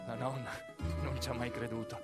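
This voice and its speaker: A man performs a dramatic storytelling monologue for radio theatre, emphatic and full of deliberate pauses. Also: mid-range voice, flat delivery, over loud music. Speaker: actor-narrator of a theatrical monologue.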